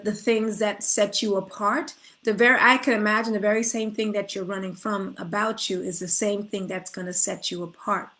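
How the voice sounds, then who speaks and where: A woman's voice: mid-range voice, a bit bright. A middle-aged woman coach giving an earnest, spiritual motivational talk, inviting listeners to come forward, with frequent restarts. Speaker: life coach. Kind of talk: motivational direct-to-camera talk.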